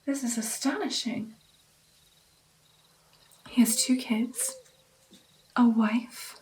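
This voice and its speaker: A woman doing whispered ASMR roleplay as a gentle, caring vampire mentor, with an intimate, breathy delivery. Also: a little high, very animated, extremely slow, slight background noise, poor audio.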